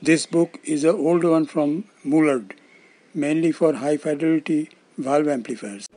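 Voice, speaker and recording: An older man, around his seventies, with an Indian accent, giving scripted explanatory narration in formal, measured phrasing. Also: on the high side, over music. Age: older, around 70s. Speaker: presenter of loudspeaker-making videos.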